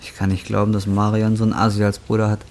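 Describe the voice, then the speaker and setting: A man's voice: on the low side, monotone, fast talker, a little mellow, natural voice. A man acting a volatile, bitter, down-and-out young man in an audio drama, coarse and combative in his talk. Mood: sullen, troubled. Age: young adult. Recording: music bed behind dialogue. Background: music.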